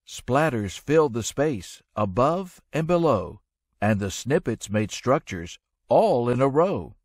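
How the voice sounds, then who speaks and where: A man narrating a rhyming children's story in an expressive, measured storytelling manner. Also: medium pitch, no echo, studio quality.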